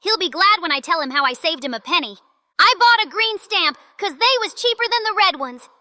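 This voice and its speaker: A woman's voice: very high-pitched, lively intonation, a little fast, bright, forceful tone, no echo, studio quality, full character voice. A woman playing a naive, helpful little kid from a comic strip, with childlike, ungrammatical talk. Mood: pleased, naive. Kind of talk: acted comic-strip dialogue.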